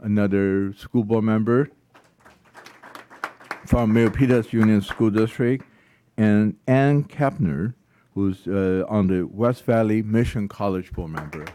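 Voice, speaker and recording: A man moderating a hearing, introducing speakers in a halting, friendly way with many hesitations. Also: lowish voice, dark tone.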